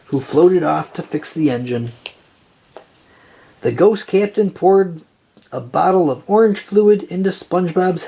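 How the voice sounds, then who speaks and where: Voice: medium pitch, warm tone, slightly rough, poor audio. A storyteller reading a children's picture book aloud in narration style. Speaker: storybook reader.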